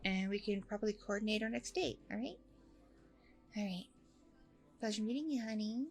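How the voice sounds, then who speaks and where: A woman's voice: medium pitch, normal speed, soft background music, close to an everyday voice. A woman playing a friendly, flustered, flirty single mom on a first date in an ASMR roleplay, chatty, hesitant and gushing. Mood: warm, eager. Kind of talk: ASMR roleplay acting, one side of a dialogue.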